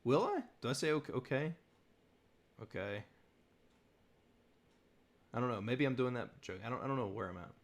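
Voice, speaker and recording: A man's voice: medium pitch, big pitch swings, normal speed, long pauses. A man from the southern United States hosting a casual livestream Q&A, chatty and rambling, laughing often. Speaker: host.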